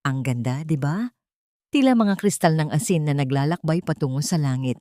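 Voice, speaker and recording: Woman playing dying mother in audio love drama: weak, soft, tender, consoling, dry sound. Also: deep voice, expressive, moderate pace, no room sound. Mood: wistful. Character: frail, loving, dying mother, gentle and consoling.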